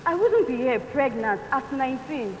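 A woman's voice: high-pitched, sing-song, moderate pace. A woman with a Nigerian accent playing an anxious nineteen-year-old student in a stage drama. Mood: anxious, regretful. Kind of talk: stage play dialogue. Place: indoor theatre stage set. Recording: reverberant hall, faint noise.

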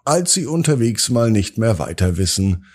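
A man hosting a children's podcast and narrating bedtime stories, speaking directly to young listeners with dramatic pauses and drawn-out words. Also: fairly low pitch, very animated, darkish tone, breathy edge. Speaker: children's podcast host and storyteller.

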